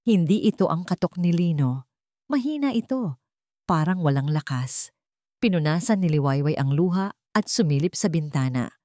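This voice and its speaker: A woman's voice: lowish voice, lively intonation, moderate pace, mellow, dry recording, studio quality. A woman narrating a scripted story in a dramatic storytelling style.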